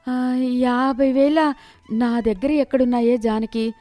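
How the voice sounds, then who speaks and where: A woman's voice: a little high, steady tone, slow pace, dark tone, no echo, faint music behind. Woman playing a brusque, selfish female relative. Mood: shocked, evasive. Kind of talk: dramatized moral story acting.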